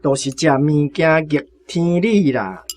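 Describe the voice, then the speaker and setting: A woman's voice: sits low, expressive, unhurried, a little mellow, a little hiss or hum. A woman playing an old country grandfather in a radio drama, opinionated and bluntly instructive, with a Taiwanese accent. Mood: warning.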